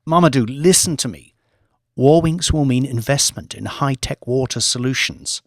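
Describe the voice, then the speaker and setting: Casting: male narrator as smooth, pushy negotiator, medium pitch, earnest persuasion for a thriller audiobook. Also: normal speed, no room sound, clean studio sound, lightly acted. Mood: persuasive, insistent.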